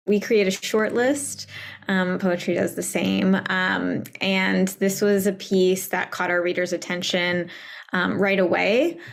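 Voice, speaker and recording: A woman's voice: mid-range voice, creaky. A woman speaking as an editor, explaining a process in an unscripted, conversational way. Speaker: prose editor.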